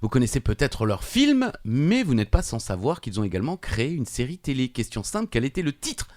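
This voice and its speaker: A man co-hosting a quiz podcast, his pitch bouncing up and down as he talks. He reads out long strings of dates and names, then drops into joking banter. The sound is close and dry. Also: sing-song, a little fast, a little mellow, dry recording. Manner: reads show facts in long run-on lists, chatty and joking. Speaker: quiz co-host.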